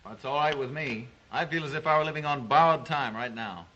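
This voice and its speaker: An actor playing a plain-spoken hunter and shaken castaway, clipped and polite, in an old-fashioned drama. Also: male voice, fairly high pitch, a little fast, bright, forceful tone, dull audio, slight background noise. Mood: polite, subdued.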